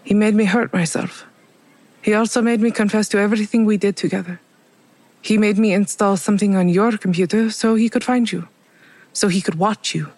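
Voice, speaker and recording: A woman's voice: mid-range voice, steady tone, brisk, a little mellow, lightly acted, poor audio. An actress playing a guilt-ridden, vengeful woman who speaks tersely and without repentance in an audio drama. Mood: grim, resigned.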